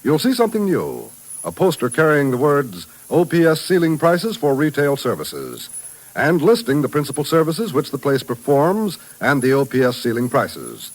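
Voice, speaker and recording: A man's voice: on the high side, huge pitch swings, moderate pace, rough recording. An American man announcing on 1950s radio, reading a scripted message with formal, emphatic delivery. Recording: vintage 1950s radio recording.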